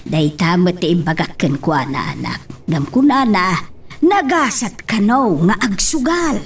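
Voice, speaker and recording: A woman's voice: mid-range voice, sing-song, measured pace, distant mic, slight background noise. A woman playing an anxious, scolding mother, fretful and pleading, in an Ilocano audio drama. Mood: worried. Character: anxious, scolding mother of a sick grown child.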